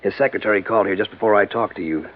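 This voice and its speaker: A man's voice: lowish voice, racing, slight background noise, close to an everyday voice. An actor playing a boastful, blustering, folksy insurance man who flusters easily, in mid-century American radio acting style. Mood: matter-of-fact. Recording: tinny narrow-band old radio recording.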